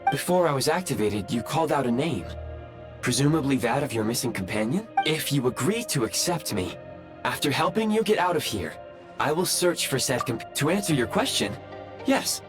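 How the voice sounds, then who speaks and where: A man's voice: medium pitch, sing-song, close to an everyday voice. A man voicing an earnest, formal, newly awakened ancient robot guide in a video game, with precise, dutiful phrasing. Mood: polite, dutiful. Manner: formal, precise phrasing with halting self-corrections. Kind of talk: scripted game voice acting. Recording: background music under the voice.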